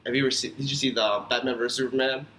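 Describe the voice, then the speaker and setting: A podcast host, a man with a mid-pitched voice, chats casually in short remarks and questions. The sound is roomy and echoey. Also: steady tone, racing, sharp tone. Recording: roomy echo, background noise.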